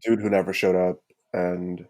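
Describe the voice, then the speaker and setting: A young man is a guest on a podcast. His voice is low in pitch, and he talks in a loose, casual, conversational way. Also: flat delivery, moderate pace, darkish tone. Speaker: podcast guest, student tutor. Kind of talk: casual chat.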